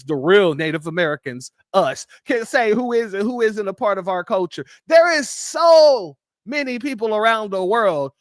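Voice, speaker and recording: Emphatic livestream host, very lively pitch. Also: no echo, studio quality. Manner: heated, emphatic. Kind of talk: livestream commentary.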